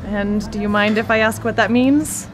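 A woman's voice: mid-range voice, steady tone, normal speed, soft background music, natural voice. A woman in a live radio drama playing a polite, curious date who laughs easily. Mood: friendly. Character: friendly young woman on a blind date. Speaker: supporting character in a radio drama.

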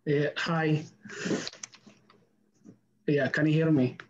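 A man from the group speaking haltingly, searching for words, over a video call. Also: on the high side, level tone, muffled recording. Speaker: community member. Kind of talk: casual chat.

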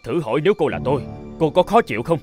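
An animated, somewhat high-pitched cartoon husband. He is a bitter, emphatic character whose voice swings widely, with music behind him. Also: very fast. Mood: cold, bitter. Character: bitter, cold husband who turns terrified and begging. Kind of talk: Vietnamese cartoon voice acting.

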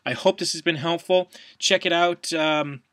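A man's voice: fairly high pitch, steady tone, normal speed, fairly bright tone, dry recording, clean studio sound. A man in his thirties giving a casual, plain-spoken, step-by-step demonstration as a host, recorded indoors at a desk. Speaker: podcast host, product demonstrator. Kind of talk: casual instructional video demonstration. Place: small wood-panelled room at home, indoors.

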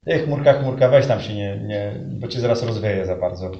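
A man's voice: fairly low pitch, dull audio, pitched below the performer's own voice, close to an everyday voice. A man voicing a chatty, teasing spirit of nature in a live improvised fairy-tale play, colloquial, in an echoey room with an audience. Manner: colloquial, teasing, hesitant pauses. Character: teasing, chatty forest wind or stream needling a cloud.

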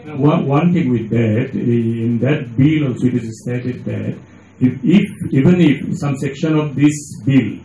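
Casting: male panelist, Indian accent, creaky mellow voice, insistent and emphatic. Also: mid-range voice, a little slow. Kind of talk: panel remarks to audience. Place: auditorium, seated at panel table. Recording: echoey auditorium recording.

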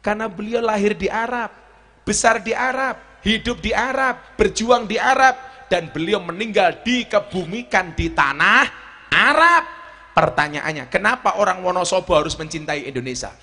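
A middle-aged man with a Javanese accent preaching a humorous sermon on an outdoor stage into a handheld mic, joking and swinging between shouts and low asides. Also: expressive, normal speed. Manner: walks the stage with a cane. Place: outdoor stage before a large crowd.

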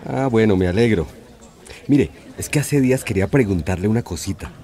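An officious Colombian school coordinator. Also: male voice, lowish voice, expressive, brisk, dark tone. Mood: probing. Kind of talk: radio soap acting.

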